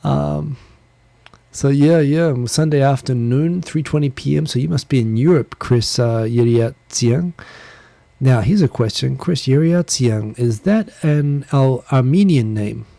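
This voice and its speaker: Man, New Zealand accent, dark mellow tone, rambling self-deprecating chat, close handheld mic. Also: mid-range voice, creaky. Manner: rambling, self-deprecating, talks fast with restarts. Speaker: livestream host. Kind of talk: casual solo live chat. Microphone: handheld USB recorder mic close. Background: computer fan hum.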